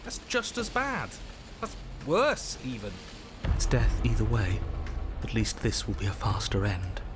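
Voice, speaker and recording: A man's voice: lowish voice, wildly swooping pitch, measured pace, music in the background. A man playing an anxious inner voice fighting to survive in a video game, speaking in tense, urgent, clipped sentences. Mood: alarmed. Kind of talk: video game character acting.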